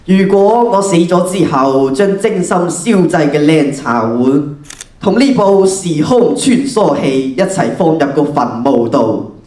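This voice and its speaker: Teenage girl playing an elderly potter, low and echoey. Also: lively intonation, a little slow, warm tone. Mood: earnest. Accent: Hong Kong Cantonese. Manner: theatrical, exclamatory. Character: elderly reclusive tea-bowl potter, earnest and wary. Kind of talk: school radio drama acting. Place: large auditorium stage. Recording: echoey hall from far off, music behind.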